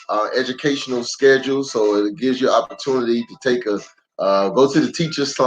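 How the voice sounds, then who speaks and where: A man's voice: mid-range voice, a little hiss or hum. American man hosting story time, dull lo-fi stream. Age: adult parent of young children. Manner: welcoming, addresses viewers directly. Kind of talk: casual livestream chat to families. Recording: dull lo-fi livestream audio with music.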